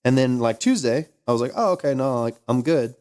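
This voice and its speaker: A man's voice: mid-range voice, lively intonation, a little fast, darkish tone, clean tone. A tired young man with a slightly lost voice, chatting casually on a live art stream and telling a rambling story with lots of "like". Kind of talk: casual chat.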